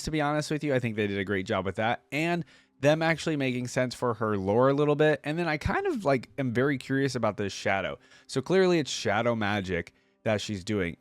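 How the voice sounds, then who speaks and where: A man's voice: medium pitch, no echo. A young man hosting a casual reaction video with laid-back, chatty, appreciative commentary.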